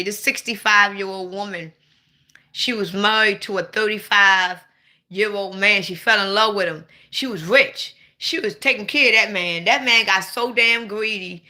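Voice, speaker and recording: Woman, 40s, very bright sharp tone, blunt, playful, loud bursts. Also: mid-range voice, moderate pace. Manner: blunt, playful, swings to sudden loud outbursts. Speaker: livestream host. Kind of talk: casual livestream chat.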